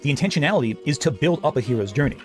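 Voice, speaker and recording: A man's voice: on the high side, lively intonation, racing, soft background music. A man giving opinionated commentary. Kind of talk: opinionated commentary in a played clip.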